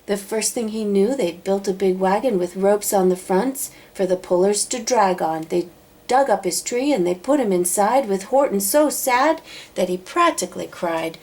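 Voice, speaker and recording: A woman narrating a children's storybook aloud, expressively, with dramatic drawn-out emphasis. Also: mid-range voice, a little mellow. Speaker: storybook reader.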